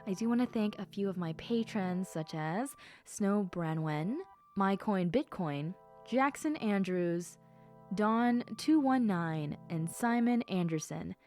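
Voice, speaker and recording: A woman's voice: mid-range voice, expressive, takes it slowly, a little mellow, faint music behind. A woman audio creator speaking directly to listeners in a friendly sign-off style, thanking supporters. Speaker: roleplay audio creator and voice actor.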